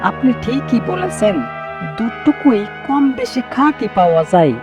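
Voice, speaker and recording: Very high-pitched, obliging village servant. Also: male voice, big pitch swings, normal speed, muffled recording. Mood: deferential. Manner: eager, agreeable, fawning. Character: obliging, deferential village servant and town crier. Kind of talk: cartoon moral-tale acting. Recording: music behind the voice.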